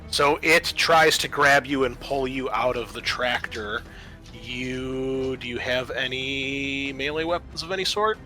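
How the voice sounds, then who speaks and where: A man's voice: mid-range voice, unhurried, pushed and bright, soft background music, poor audio. A man narrating a tabletop horror roleplaying game as game master, casual and prone to swearing.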